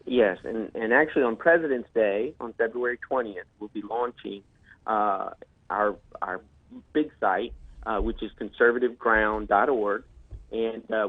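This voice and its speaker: Guest on a call-in line, stop-start talker full of uhs, tinny dry phone sound. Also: male voice, fairly low pitch, normal speed, dry recording. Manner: hesitant, many fillers and restarts. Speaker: guest on a political talk show. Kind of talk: casual promotional talk.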